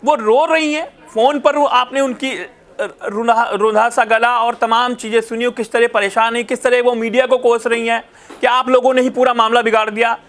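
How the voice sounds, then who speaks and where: Male news reporter, extremely high pitch, insistent and repetitive commentary delivery, some halting self-correction. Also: fairly even intonation, a bit bright. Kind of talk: news commentary to viewers, recounting calls.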